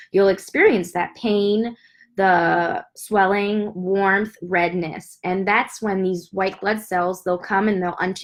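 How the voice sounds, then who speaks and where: A woman speaks with a stuffy, congested voice, as if she has a head cold. She explains a health topic in a chatty way, talking straight to her viewers with plenty of fillers. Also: mid-range voice. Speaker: doctor giving a health talk. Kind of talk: casual educational talk to viewers.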